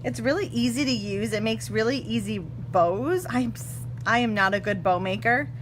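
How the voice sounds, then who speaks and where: Hoarse-ish woman, 30s, chatty craft demo at her table, kinda high voice, humming noise behind. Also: normal speed. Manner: chatty, self-correcting, laughs often. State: slightly hoarse. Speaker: craft tutorial host. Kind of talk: casual live stamping demo. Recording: clear background hum.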